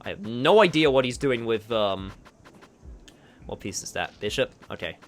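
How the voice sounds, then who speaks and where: A man's voice: mid-range voice, animated, normal speed, soft background music. A man casually thinking aloud while playing a video game, muttering his moves, swearing now and then, and chatting with viewers. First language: not Japanese. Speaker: gaming streamer. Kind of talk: casual gameplay commentary.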